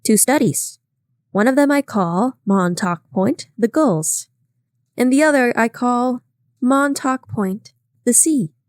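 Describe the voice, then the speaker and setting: A woman's voice: a little high, animated, takes it slowly, darkish tone, no room sound, clean studio sound, pitched above the performer's own voice. A woman reading aloud, voicing an earnest, self-promoting male photographer. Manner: earnest, eager.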